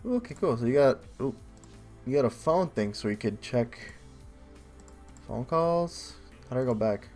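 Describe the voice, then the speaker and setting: A man's voice: medium pitch, expressive, unhurried, long pauses, a little mellow. A man doing casual let's play commentary, reading game narration aloud and adding informal, joking asides. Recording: faint game music behind.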